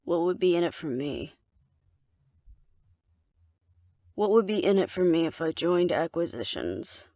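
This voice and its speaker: A woman acts out a female alpha werewolf for an audio roleplay, with a lowish voice full of gravelly fry. She is cocky and flirtatious, teasing the listener with wry confidence. The sound is dry and close. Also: darkish tone, no echo, heavily acted. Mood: skeptical, wry. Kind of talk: intimate audio roleplay acting addressed to the listener.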